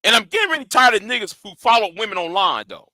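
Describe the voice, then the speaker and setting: Guy ranting on his channel, high edgy voice, cussing and daring people, keeps stumbling over repeated words. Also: big pitch swings, fast talker, pushed and bright, no room sound, studio quality. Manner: combative, profane, taunting. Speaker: channel host. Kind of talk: confrontational livestream rant.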